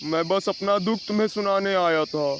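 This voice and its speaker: A man's voice: high-pitched, normal speed, natural voice. A man voicing a troubled, emphatic father crow in a Hindi cartoon moral story. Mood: distressed pleading. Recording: clear noise of insects outdoors.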